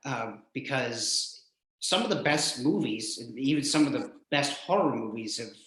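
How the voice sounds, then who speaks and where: A man hosting a casual interview, talking in a halting, rambling way and repeating words as he goes. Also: medium pitch, animated, a bit bright. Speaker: show host, interviewer. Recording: echoey room sound.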